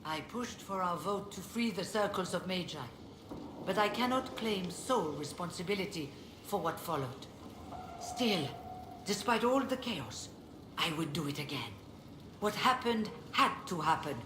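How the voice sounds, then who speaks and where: A woman voicing a weary, dignified mage leader in a video game, speaking in a measured, formal, reflective way. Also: on the low side, animated. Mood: wry, reflective. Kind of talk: video game dialogue acting. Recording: roomy echo, clearly noisy game capture.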